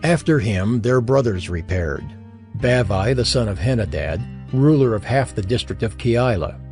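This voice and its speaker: Expressive solemn male scripture narrator. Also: on the low side, normal speed. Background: background music.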